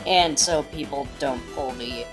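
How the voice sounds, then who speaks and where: A woman's voice: a bass voice, a little slow, over music. A woman speaking as a guest commentator in a video, giving scripted commentary.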